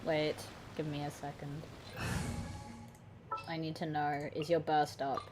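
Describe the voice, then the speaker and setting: A young woman giving excitable, mock-dramatic, gushing gameplay commentary. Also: deep voice, measured pace, over music. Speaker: game streamer. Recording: noisy mic with game sound. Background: game audio.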